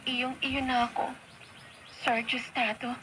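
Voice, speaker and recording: Young filipina daughter in radio drama, high voice, emotional n respectful, lots of noise behind. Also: female voice, little pitch movement, takes it slowly. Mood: resigned, hesitant. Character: desperate, devoted young daughter of a sick mother. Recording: noisy radio drama recording.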